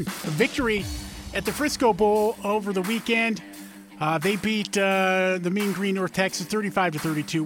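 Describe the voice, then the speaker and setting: A male radio host talks in a loose, rambling way, with lots of fillers and side remarks. His voice is hoarse and sits a bit high for a man. Soft music plays faintly underneath. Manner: rambling, filler-heavy, self-deprecating. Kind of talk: casual radio chat.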